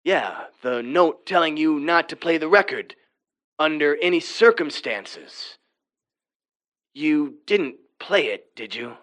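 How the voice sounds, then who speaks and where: A man's voice: fairly high pitch, lively intonation, slow, fairly bright tone. An actor playing a wary male friend in a horror audio drama, talking in a conversational, teasing way. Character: wary male friend telling a spooky legend.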